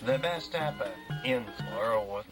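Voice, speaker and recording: A man makes a mock-live announcement at a slow, drawn-out pace. His voice is mid-pitched, with music clearly behind it. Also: expressive.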